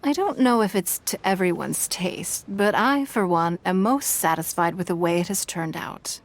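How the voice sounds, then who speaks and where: Poised, formal game leader, low and measured, faint music. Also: female voice. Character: poised elegant female leader.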